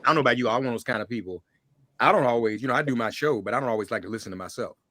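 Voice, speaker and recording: Guy hosting an interview show, chatty and effusive, medium pitch, dry sound, repeats himself a bit. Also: very fast, fairly bright tone, no echo. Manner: effusive, repeats phrases as he talks. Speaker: talk show host. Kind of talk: conversational interview.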